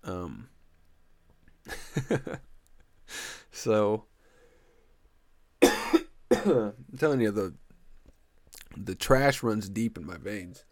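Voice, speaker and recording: A man's voice: fairly low pitch, expressive, drawn-out words, pauses often, dry recording, clean studio sound. Congested, crude young man rambling on a podcast. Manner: crude, joking, goes off on tangents. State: congested, stuffy nose. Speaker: podcast host. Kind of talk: rambling solo podcast chat.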